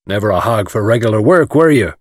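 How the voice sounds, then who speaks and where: A man voicing a gruff, hearty male adventurer who teases his friend bluntly in an audiobook story. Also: fairly low pitch, animated, fast, no echo, studio quality. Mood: hearty, amused. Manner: blunt, jocular.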